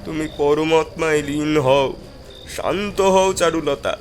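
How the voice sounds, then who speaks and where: A man's voice: on the high side, unhurried, a little hiss or hum, close to an everyday voice. A man voicing an earnest young man desperately pleading with a ghost to free his beloved.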